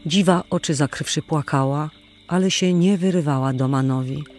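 A man narrating an old tale as a scripted audio drama, in a solemn, measured, literary manner. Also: fairly high pitch, darkish tone. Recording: music playing behind the voice. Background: music.

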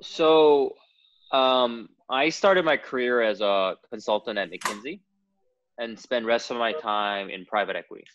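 A man's voice: medium pitch, unhurried, fairly bright tone, dull audio, slight background noise. A man of about thirty, a startup co-founder answering interview questions hesitantly with many fillers. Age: 30s.

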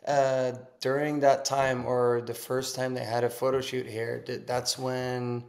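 A man in his thirties with a Norwegian accent, chatting casually as a podcast guest over a video call from his kitchen, relaxed and laughing easily. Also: medium pitch, a little slow. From Norway. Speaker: guest, pro snowboarder. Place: home kitchen, indoors.

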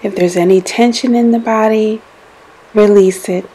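A woman leading a guided meditation in a gentle, soothing way, giving deliberate instructions with pauses between phrases. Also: mid-range voice, fairly even intonation, husky, rough recording. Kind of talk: guided meditation, scripted narration.

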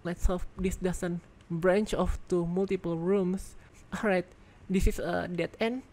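A woman giving casual gameplay commentary as a reviewer, excitable and thinking out loud, congested and losing her voice. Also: on the low side, a little slow. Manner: excitable, exclaims in surprise, thinks aloud. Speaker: game video reviewer.

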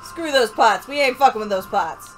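A woman's voice: on the high side, expressive, normal speed. A woman hosting a let's play as she plays, chatting casually with silly, profane, giggly banter. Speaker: let's play host playing the game. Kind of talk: casual playful gameplay chat. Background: faint video game music.

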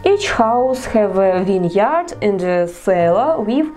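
Young Russian woman, slow careful English. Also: medium pitch, sing-song, warm tone, soft background music. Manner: careful, deliberate non-native English. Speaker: vlogger. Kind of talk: scripted narration to camera.

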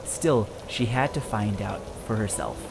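A narrator reading a children's storybook aloud in an expressive, dramatic and deliberate storytelling style. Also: mid-range voice, creaky, noisy background. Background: music.

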